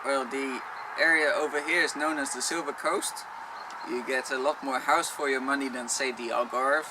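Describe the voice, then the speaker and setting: Dutch-accented male vlogger, matter-of-fact Q&A, mid-pitched voice, outside with wind and traffic noise. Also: fairly even intonation, moderate pace. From Netherlands. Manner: plain, matter-of-fact explaining. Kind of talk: casual Q&A vlog. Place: outdoors on a rural property, wind and traffic. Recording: noisy outdoor recording with wind and traffic. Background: wind and road traffic.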